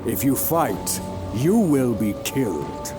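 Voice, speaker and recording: A man's voice: mid-range voice, sing-song, slow pace, loud music behind the voice. A man playing a proud, imperious warrior king of Atlantis, speaking in a grand, formal, commanding manner.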